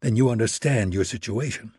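A man voicing a cold, menacing male operative who talks low and clipped. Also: fairly quick, darkish tone, breathy edge, no room sound, clean studio sound.

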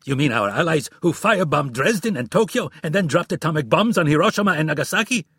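A hard, uncompromising intelligence chief, put on by a male narrator, blunt and forceful, his voice lifting when he pushes back. The tone is husky and slightly rough, and the read sounds dry and close. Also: on the high side, animated, quick pace, no room sound, pitched above the performer's own voice, heavily acted. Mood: defiant. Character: cold, supremely confident male spy chief.

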